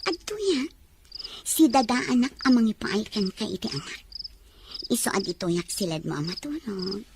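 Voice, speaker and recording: An actress voicing a young wife pleading with her cold husband, speaking softly and breathily. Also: mid-range voice, very animated, normal speed, gravelly, background noise. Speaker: wife in an audio drama.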